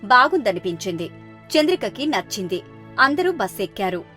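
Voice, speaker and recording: A woman's voice: mid-range voice, sing-song, moderate pace, pushed and bright, soft background music. A woman storyteller reading a scripted children's moral story.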